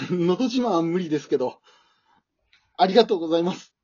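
A meek, nervous husband acted by a man in an amateur radio play. He stammers and hesitates, his husky voice leaping up and down in pitch. The recording is a little dull. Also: lively intonation, a little fast, mellow. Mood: humble, moved. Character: timid, nervous, unimpressive husband and father at singing contest. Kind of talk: amateur radio drama acting.

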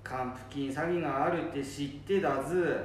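A man's voice: a little high, moderate pace, natural voice. Far from the mic in an echoey room, a man plays a chatty old grandfather. He recounts things in Nambu dialect, as in a homemade radio play. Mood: chatty, recounting. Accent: Nambu dialect of northern Japan. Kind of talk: amateur radio drama acting. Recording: distant echoey room with faint noise.

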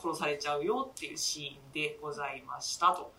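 A woman's voice: deep voice, a little fast, recorded from a distance, over a noisy crowd. A woman hosting a casual, chatty round-up talk with her co-stars in a polite, easygoing way. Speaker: radio show host. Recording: roomy, off-mic, light background noise.

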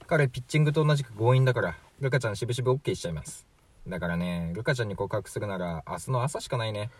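A man's voice: on the low side, expressive, rapid-fire, husky. A man playing a chatty, flippant 43-year-old from the future in a radio drama, glib and matter-of-fact. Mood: breezy, teasing. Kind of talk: amateur radio drama acting.

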